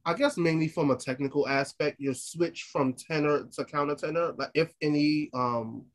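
A man interviewing a singer, asking questions in a halting, hedging way. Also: a little high.

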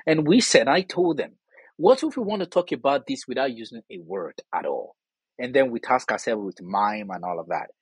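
A man's voice: mid-range voice, sing-song, moderate pace, husky, no echo, poor audio. A man with a Nigerian accent giving earnest, emphatic, conversational answers as an interview guest, telling anecdotes and acting out quoted dialogue. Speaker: interview guest, theatre artist and scholar.

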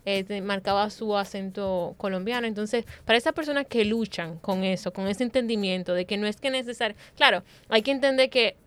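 A woman's voice: medium pitch, a little fast, hardly any pauses, a bit bright, smooth. A woman moderating a panel, framing questions for the other speakers.

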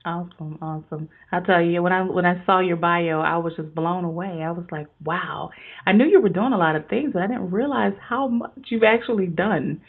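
A woman with a slightly low voice hosts a motivational interview. Her manner is enthusiastic and effusive, with the upbeat address of a radio presenter. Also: fairly quick, slightly rough, narrow, tinny audio. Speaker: podcast interview host.

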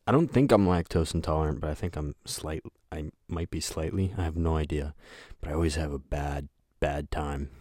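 A young man hosting a solo podcast, talking in a flat, monotone voice that rambles, with lots of hesitation and filler words. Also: extremely low pitch, dry recording, clean studio sound. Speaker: podcast host. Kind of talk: casual solo podcast chat.

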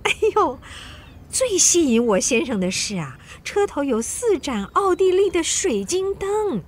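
An actress in a radio drama playing a boastful, teasing French society wife with coy, theatrical, drawn-out exclamations. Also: female voice, high voice, very animated. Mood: showing off.

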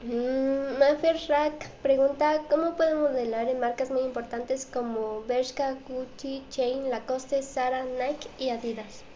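A woman's voice: high voice, fairly even intonation, measured pace, hardly any pauses, dark tone. A woman hosting an interview show, reading out audience questions and presenting to viewers. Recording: dull, echoey room with faint noise.

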